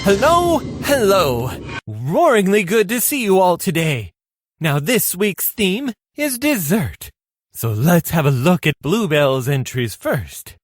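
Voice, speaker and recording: A woman's voice: fairly low pitch, big pitch swings, slow, no echo, soft background music, full character voice. A woman playing a flamboyant, pompous male food judge in a comic radio play. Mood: jovial, grand. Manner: pompous, exclamatory, savouring.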